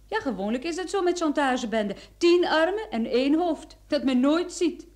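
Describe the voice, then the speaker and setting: An actress playing a sharp, confident female private detective in a radio play, explaining things in an assured, theatrical way. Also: high-pitched, lively intonation, normal speed. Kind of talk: Dutch-language radio drama acting.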